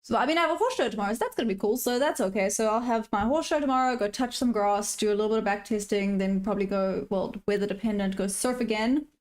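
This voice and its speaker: A woman's voice: medium pitch, fast, hardly any pauses, darkish tone. A woman live-streaming her day trading, chatting casually and answering viewer questions as she goes. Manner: upbeat sign-off, friendly to viewers.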